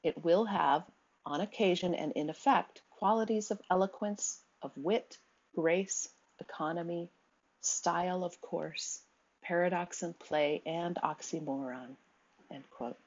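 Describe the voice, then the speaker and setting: A woman giving a recorded slide lecture in a measured, steady way, with the odd um. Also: on the low side, lo-fi sound. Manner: measured, reads quotes aloud, occasional ums.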